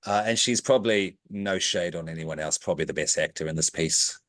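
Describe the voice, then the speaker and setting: A man's voice: low-pitched, fairly quick, fairly bright tone, dry recording. A man hosting a podcast, recapping a movie plot in casual chat with playful, jokey asides. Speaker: podcast host.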